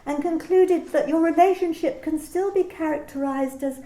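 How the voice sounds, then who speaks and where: A woman's voice: sits high, normal speed, mellow, roomy sound, natural voice, low-quality recording. A woman playing a cold corporate manager. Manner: cool, corporate, condescending. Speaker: company manager. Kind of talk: amateur radio play reading.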